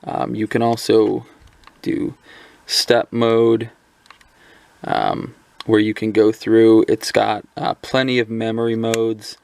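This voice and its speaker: A man with a lowish, gravelly voice walks through a small radio in an unscripted review. He rambles a little, filling gaps with ums and restarting sentences as he goes. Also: flat delivery, slow, a little mellow. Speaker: product reviewer. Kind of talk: casual hands-on product review.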